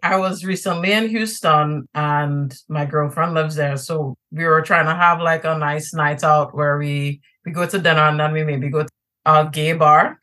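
Woman, Trinidadian accent, bright voice, chatty, loose and rambling, podcast guest. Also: low-pitched, fairly even intonation, normal speed. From Trinidad and Tobago. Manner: chatty, many fillers and restarts, laughs easily. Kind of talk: casual interview talk.

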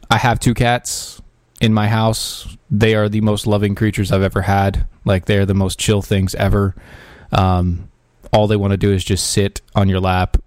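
A man's voice: fairly low pitch, dry recording, clean studio sound. A man hosting a podcast, giving casual political commentary in a sarcastic, ranting way with frequent restarts and fillers. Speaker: podcast host.

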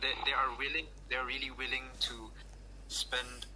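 A man's voice: mid-range voice, level tone, moderate pace, pushed and bright, poor audio. A man speaking as a podcast guest, hesitant, often stopping to search for words. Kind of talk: casual chat. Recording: faint background noise.

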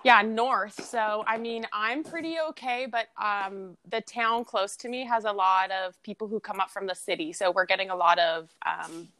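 A cheerful woman co-hosts a podcast, laughing often and wandering through her stories. Her pitch is ordinary. The sound is dry, with no room echo. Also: steady tone, normal speed, few pauses, sharp tone. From Ontario, Canada. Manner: cheerful, giggly, rambling. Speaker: podcast co-host. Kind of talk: casual chat.

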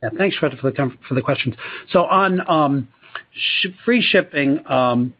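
A man's voice: fairly high pitch, normal speed, no room sound. Man on a dull, dry conference call. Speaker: company executive answering analyst questions. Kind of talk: spoken answers on an earnings call.

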